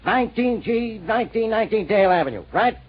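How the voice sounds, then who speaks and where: An actor playing a blustery, stubborn, sarcastic police inspector in a 1940s radio detective drama. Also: male voice, high voice, animated, fast, slightly rough. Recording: muffled vintage radio recording.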